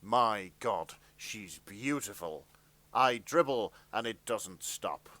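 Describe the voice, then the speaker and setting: A man's voice: fairly low pitch, lively intonation, slow pace, pushed and bright. A man hosting a podcast, reading fan fiction aloud with mock-serious narration.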